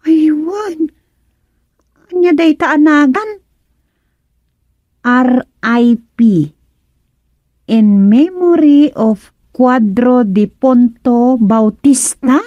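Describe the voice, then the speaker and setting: A woman's voice: high voice, expressive, very slow, pauses often, warm tone, no room sound, lo-fi sound, exaggerated voice. A woman playing a young, innocent, questioning daughter with an Ilocano Filipino accent, hesitant in radio comedy-drama style. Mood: hesitant, curious.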